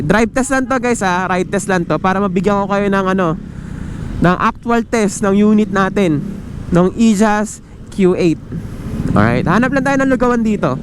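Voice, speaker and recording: High-voiced Filipino man vlogging casually. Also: background noise. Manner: chatty, addresses viewers directly. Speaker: motorcycle vlogger and gear reviewer. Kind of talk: casual vlog review mixing Tagalog and English. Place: outdoors, riding a motorcycle on the road. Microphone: microphone clipped inside a helmet.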